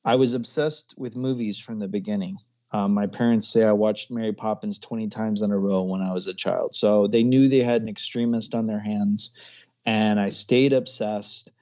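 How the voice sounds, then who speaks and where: A man's voice: fairly low pitch, fairly even intonation, normal speed. A man in his thirties, a filmmaker guest in a casual interview, chatty and often laughing as he talks. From grew up overseas, American parents.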